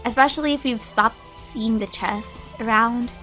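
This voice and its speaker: Young female streamer: fairly high, chatty, rambling casual commentary with game music behind. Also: unhurried, bright, forceful tone, low-quality recording. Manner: chatty, rambling, musing aloud.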